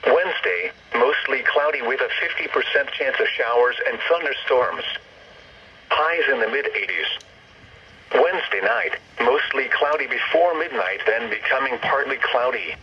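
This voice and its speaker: A man's voice: mid-range voice, expressive, moderate pace. Synthetic male weather radio announcer, muffled and noisy. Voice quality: synthetic text-to-speech voice. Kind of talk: scripted weather forecast bulletin.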